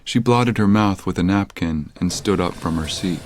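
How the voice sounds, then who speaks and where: A man's voice: sits low, normal speed, soft background music. A man narrating a scripted audio drama in the third person.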